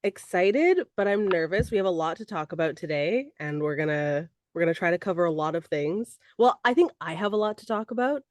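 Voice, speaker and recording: A young woman co-hosting a casual podcast with her father, hoarse and congested after an illness, playful and teasing. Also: fairly low pitch, animated, fairly quick, hardly any pauses, darkish tone, dry recording. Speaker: podcast co-host. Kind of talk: casual chat. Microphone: recording online.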